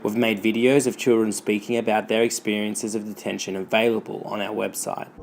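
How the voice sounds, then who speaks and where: A man's voice: on the low side, little pitch movement, fairly quick, vocal fry, a little hiss or hum. A man delivering scripted narration as the narrator for a campaign.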